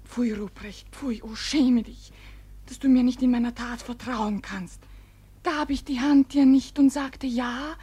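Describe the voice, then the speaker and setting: High-voiced, wounded young maiden. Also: female voice, measured pace, warm tone, husky. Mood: hurt, reproachful. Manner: earnest, wounded. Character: wronged, reproachful young village maiden. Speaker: actor in a radio play. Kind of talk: theatrical verse radio play acting.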